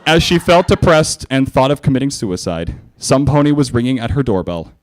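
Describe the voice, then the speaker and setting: Animated, sardonic man reading aloud. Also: medium pitch, normal speed. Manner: theatrical, sardonic, with mocking asides. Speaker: fan fiction reader at a convention. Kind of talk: live comic dramatic reading.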